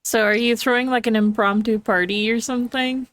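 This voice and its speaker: A woman's voice: on the high side, fairly even intonation, brisk, fairly bright tone, no echo. A woman playing a tabletop role-playing game, casually thinking aloud and asking questions to set up the scene.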